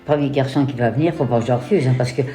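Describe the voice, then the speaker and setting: A woman's voice: very deep voice, rapid-fire, warm tone. An older woman, a retirement home resident, rambling animatedly about her life and often adding "hein". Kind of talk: recalling memories in an interview. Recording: roomy with music underneath.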